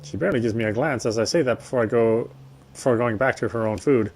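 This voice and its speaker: A man's voice: mid-range voice, fast talker, darkish tone. A man streaming a visual novel, reading its story aloud and commenting casually. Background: faint game music.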